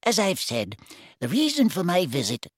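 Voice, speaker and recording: A man voicing a polite but firm, pompous ministry official in an audiobook, formal and measured. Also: sits high, huge pitch swings, no room sound, clean studio sound, pitched above the performer's own voice, full character voice. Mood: stern. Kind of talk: dramatised audiobook character voice.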